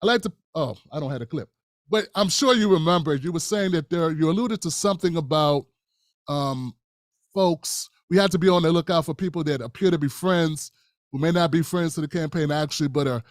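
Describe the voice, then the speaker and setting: A man hosting a live stream, his voice sitting a bit higher than most men's. He talks in a measured way, circling back with fillers and restarts. The sound is dry and close, with no room in it. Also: no room sound. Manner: measured, many fillers and restarts, calls guest brother. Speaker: host.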